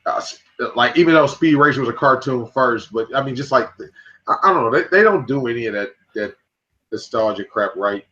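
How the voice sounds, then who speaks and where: A man's voice: medium pitch, expressive, bright, forceful tone, a little hiss or hum. Rambling man on a dull lo-fi mic. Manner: rambling, halting, restarts, swears. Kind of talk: casual chat about movies.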